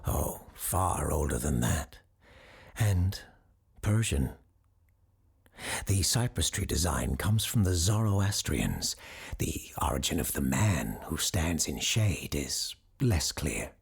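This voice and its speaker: A woman playing a grave, scholarly occult expert who hosts a supernatural radio call-in show in an audio drama, speaking in a measured, ominous, husky way. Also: rumbling low, big pitch swings, heavily acted.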